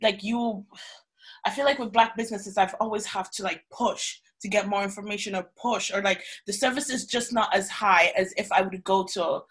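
A woman's voice: medium pitch, fairly even intonation, moderate pace, fairly bright tone. A woman chatting casually and animatedly with friends, swearing and laughing easily. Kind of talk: casual chat among friends.

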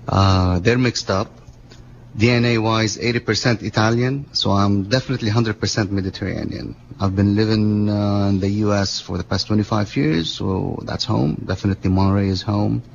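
A man from Lebanon talks as a radio guest in a gravelly, fairly low voice, wandering through his answers with plenty of fillers. The sound is a bit muffled, with a faint hiss behind him. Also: moderate pace. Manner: chatty, rambling, with frequent fillers. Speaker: guest, business owner. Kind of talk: casual radio interview. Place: radio studio.

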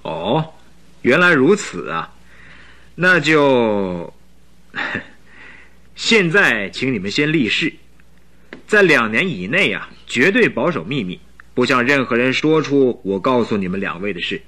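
Haughty young monarch, radio drama, sharp forceful voice, animated intonation, formal, secretive. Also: male voice, mid-range voice, slow, long pauses, heavily acted. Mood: grave, demanding. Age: young adult. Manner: formal, imperious, grave. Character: haughty young Bohemian king, secretive masked nobleman. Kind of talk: Mandarin radio drama acting.

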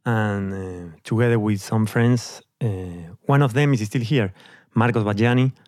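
A middle-aged man from Argentina with an Argentine accent and Spanish as his first language, telling his story as an interview guest with hesitant pauses and fillers. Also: lowish voice, fairly even intonation, a little mellow, no room sound, studio quality. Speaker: guest musician.

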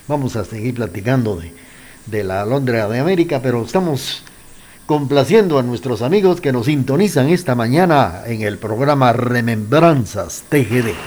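A man's voice: mid-range voice, expressive, moderate pace, darkish tone, slight background noise. Casting: male radio presenter, Guatemalan accent, mid-range voice, polite and chatty commentary for a music show. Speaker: radio program host.

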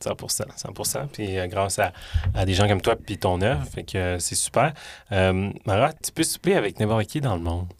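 Male podcast host, Québécois French accent, lowish voice, informal slangy chat, dry close recording. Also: normal speed, dry recording. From Rwanda. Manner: informal, slangy, with English words. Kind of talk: casual chat.

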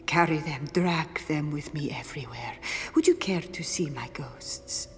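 An actress playing a tormented, riddling woman of the river's dark in a gothic audio drama, speaking theatrically and slipping into whispers. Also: deep voice. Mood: menacing. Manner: slow, theatrical, breaking into whispers. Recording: roomy echo, long reverb, faint music.

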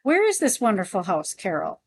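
A woman's voice: mid-range voice, huge pitch swings, a little fast, slightly rough, heavily acted. A woman reading the part of an affectionate, enthusiastic aunt in a storybook. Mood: admiring, curious. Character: affectionate, enthusiastic aunt narrating a heavenly visit. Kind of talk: storybook read-aloud.

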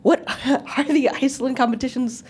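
A woman's voice: sits high, fairly even intonation, moderate pace, a little mellow, breathy edge. A woman interviewing a startup team, asking questions in an inquisitive way with rising intonation. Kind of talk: casual interview.

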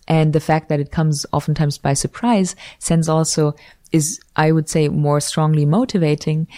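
A woman's voice: low voice, gravelly, warm tone, dry recording, clean studio sound. A woman, a guest researcher explaining ideas thoughtfully in an interview and often correcting herself mid-sentence. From Switzerland. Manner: thoughtful, academic, frequent self-corrections. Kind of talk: conversational interview.

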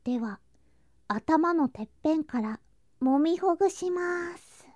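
A woman playing an affectionate, playful younger girl cousin in an intimate ASMR voice drama, speaking softly and coaxingly and slipping into whispers. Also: high voice, animated, unhurried, dry recording, dull audio. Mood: caring, affectionate. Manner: soft, sweet, coaxing, drifting into whispers and singsong. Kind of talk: ASMR situation voice drama, intimate roleplay to listener.

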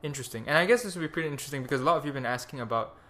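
A man's voice: mid-range voice, rapid-fire, a bit bright. A young man with a Malaysian accent hosting a podcast in a rambling, crude, self-mocking casual chat. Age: young adult. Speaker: podcast host.

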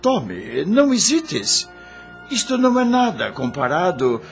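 Radio drama role: wealthy gentleman, fifties, wistful and formal, swinging intonation, unhurried male actor. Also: a little high, huge pitch swings, gravelly, faint music behind, full character voice. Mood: moved, grateful. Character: melancholy nostalgic gentleman in his fifties, wealthy and sentimental.

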